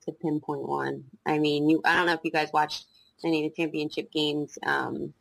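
A young woman with a slight New Jersey accent talks as a guest on a podcast, pausing often with ums and restarting her sentences. Her voice sits low for a woman. The sound is dry and close, with no room behind it. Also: level tone, creaky, fairly bright tone, no room sound, poor audio. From South Jersey, USA. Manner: hesitant, many ums and restarts. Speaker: guest lacrosse coach. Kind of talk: casual interview chat.

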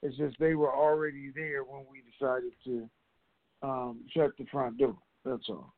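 A man's voice: mid-range voice, fairly even intonation, measured pace, dry recording. A man hosting a conference call, talking informally and in a rambling, conversational way to his listeners. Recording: dull, lo-fi conference call line.

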